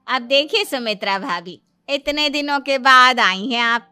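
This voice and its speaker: A woman's voice: sits high, unhurried, pushed and bright, clear, resonant tone, no echo, soft background music, heavily acted. A woman playing a sociable, hospitable middle-aged aunt in a cartoon family drama. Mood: welcoming.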